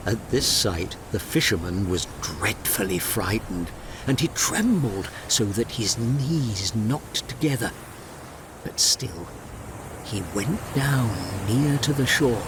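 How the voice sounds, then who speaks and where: A man's voice: fairly low pitch, lively intonation, slow, background noise. A man narrating a scripted fairy tale as a storyteller.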